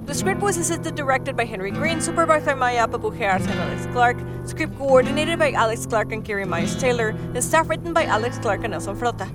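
A woman reading scripted credits as the announcer of an audio drama podcast. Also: fairly high pitch.

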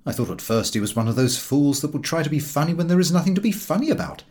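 A man reading comic literature aloud in an expressive, theatrical storytelling manner. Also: on the high side, fast talker. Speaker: audiobook reader.

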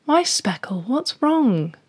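A woman voicing an elegant, kindly female cat, gently amused, with a soothing, drawn-out, teasing manner. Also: sits high, big pitch swings, slightly rough, voice pushed up, full character voice. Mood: concerned.

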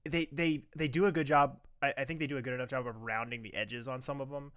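A man's voice: medium pitch, steady tone, fast talker, a bit bright, no echo. A man co-hosting a podcast, summarizing a TV episode in casual chat with stammering restarts and jokes. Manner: stammering restarts, joking asides. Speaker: podcast co-host.

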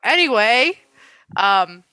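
A woman's voice: on the high side, sing-song, takes it slowly, bright, forceful tone, clear, resonant tone, no echo, poor audio. A woman co-hosting a podcast in casual chat, with a nasal voice and a blunt manner, swearing freely. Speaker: podcast co-host.

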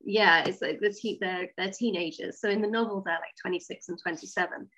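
A woman's voice: lowish voice, steady tone, brisk, fairly bright tone, low-quality recording. A woman writer talking casually as an interview guest, explaining things thoughtfully and piece by piece.

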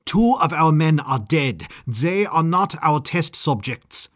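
A man's voice: mid-range voice, expressive, a little slow, husky, no echo, studio quality. A man voicing a tense, indignant military lieutenant in an audiobook, with a clipped, urgent delivery. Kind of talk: audiobook character acting.

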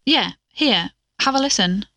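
A woman's voice: on the high side, expressive, measured pace, sharp tone, dry recording, heavily acted. A woman playing an amateur investigator and podcast co-host, chatty and explanatory, in an audio drama. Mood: casual, friendly.

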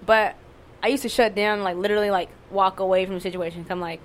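A young woman with a fairly monotone voice, talking candidly and casually, swearing and using lots of fillers. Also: medium pitch, fast, a little hiss or hum. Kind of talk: casual conversation.

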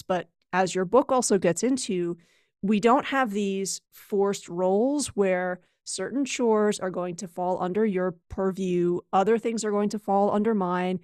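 A woman's voice: medium pitch, creaky, a little mellow, no room sound, clean studio sound. A middle-aged woman hosting a podcast, talking conversationally and thoughtfully with plenty of filler words. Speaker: podcast host. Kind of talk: podcast interview.

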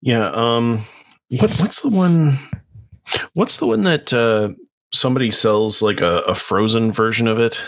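A man's voice: mid-range voice, lively intonation, unhurried, gravelly, a bit bright. A man co-hosting a podcast in casual chat, hesitant and full of fillers. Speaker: podcast co-host.

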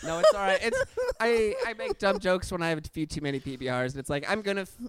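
A young man, a stand-up comic co-hosting a podcast in his living room, talking loudly and joking with frequent laughs. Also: sits high, animated, fast, smooth, dry recording. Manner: loud, joking, laughs often. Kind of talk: casual chat.

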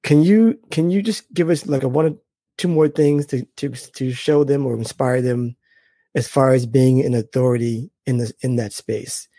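A man's voice: medium pitch, moderate pace, mellow, no echo. A man hosting a podcast, upbeat and enthusiastic, chatting casually with his guest. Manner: upbeat, enthusiastic, repeats praise. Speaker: podcast host. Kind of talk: casual interview chat.

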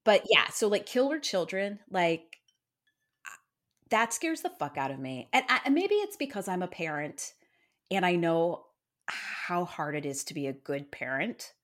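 A woman's voice: lowish voice, very animated, a little slow, fairly bright tone. A woman co-hosting a casual podcast, chatty and self-deprecating, laughing often. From Iowa. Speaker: podcast co-host. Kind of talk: casual true-crime podcast chat.

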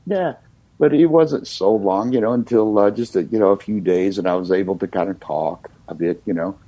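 An older man from the United States, a singer-songwriter guest, telling his story in a relaxed, reflective way with many "you know" fillers. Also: on the low side, normal speed, slightly rough, dry recording, lo-fi sound.

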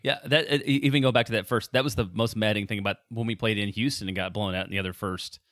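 Easygoing low-voiced podcast man. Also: fairly even intonation, fast talker, fairly bright tone, no echo, studio quality. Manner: easygoing, conversational, asks questions. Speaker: podcast co-host. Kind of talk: casual sports podcast chat, reads ads.

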